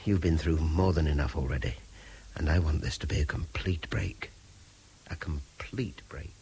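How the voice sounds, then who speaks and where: An actor playing a weary, haunted man in a radio drama, with an English accent and a grave, halting manner. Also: a bass voice, warm tone, slight background noise. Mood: hushed, weary. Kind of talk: 1970s British radio drama acting.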